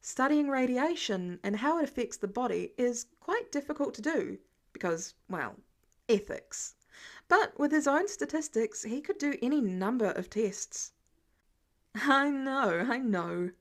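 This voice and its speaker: Higher-pitched woman reading fiction aloud. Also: sing-song, unhurried. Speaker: podfic narrator.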